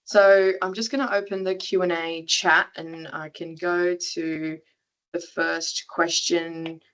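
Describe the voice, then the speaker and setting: A woman's voice: on the low side, low-quality recording. A woman moderating an online webinar over a video call, upbeat as she reads out audience answers and questions.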